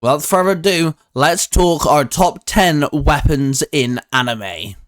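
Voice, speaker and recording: A man's voice: on the high side, measured pace, a bit bright. A man hosting a video in a casual, chatty way, explaining things in a rambling manner. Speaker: YouTube host discussing anime. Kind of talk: casual chat.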